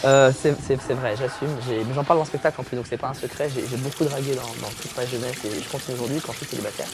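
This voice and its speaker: A man's voice: mid-range voice, fairly even intonation, racing, background noise. A young man, a comedian guest, chatting jokingly in an interview, teasing and self-mocking while lying back.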